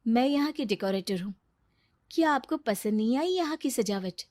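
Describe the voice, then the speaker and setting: A woman's voice: a little high, lively intonation, moderate pace, darkish tone, lightly acted. A woman voicing a strong-willed, self-reliant wife in a story, firm and matter-of-fact. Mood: politely pointed.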